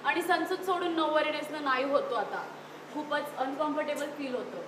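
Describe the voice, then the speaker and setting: Woman playing a polite modern city mom in a play, high voice, bits of english, noisy echoey recording. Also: moderate pace, lightly acted. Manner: polite, assured, mixes in English words. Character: assured, polite modern city mother, sister-in-law. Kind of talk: stage play acting. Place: theatre stage at a youth festival. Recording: echoey stage, noisy hall.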